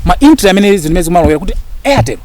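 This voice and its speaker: Very fast, high-pitched Malawian man. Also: big pitch swings. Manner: emphatic, argumentative, repeats phrases for stress. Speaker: interview guest, actor and lecturer. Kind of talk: impassioned interview talk mixing two languages. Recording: faint background noise.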